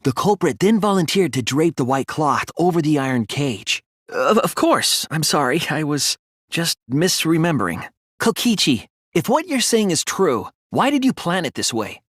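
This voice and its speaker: A man voicing an earnest, analytical teenage boy detective in a video game, reasoning deliberately through scripted dialogue. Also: fairly high pitch, big pitch swings, a bit bright, dry recording, studio quality. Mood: agitated, insistent.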